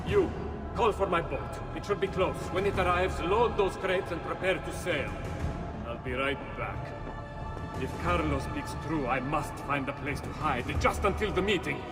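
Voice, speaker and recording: A man's voice: fairly high pitch, normal speed, long reverb tail, over loud music, natural voice. A man playing an arrogant, scheming merchant villain with an Italian accent, theatrical and haughty, in a game. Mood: haughty, urgent. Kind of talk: cinematic game acting.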